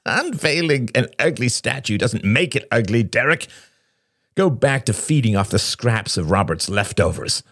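A man voicing a bitter, wounded man in an audiobook, soft-spoken but turning agitated and sardonic. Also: medium pitch, expressive, moderate pace, no echo, clean studio sound, voice pushed up. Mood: mocking. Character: Shane, bitter wounded man lashing out at friends. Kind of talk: dramatic audiobook character dialogue.

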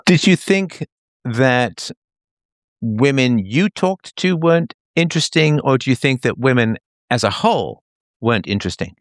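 A man's voice: medium pitch, lively intonation, a little slow, dry recording. Dry-sounding man pressing with questions. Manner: questioning, pressing with logical follow-ups. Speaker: host interviewing a caller. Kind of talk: probing conversational interview.

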